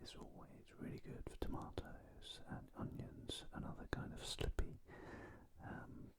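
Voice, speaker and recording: A cooking host speaks entirely in a soft, breathy whisper. The delivery is very slow and gentle, broken by pauses between short phrases. It is an ASMR-style narration of kitchen work. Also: extremely high pitch, monotone.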